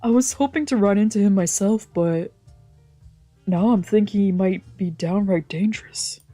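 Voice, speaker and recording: A streamer acts out an excitable, gossipy bar worker in a visual novel. The pitch is raised a little above plain narration, with game music behind. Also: normal speed, dry recording, natural voice. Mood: gossipy, concerned. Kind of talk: visual novel character read-aloud.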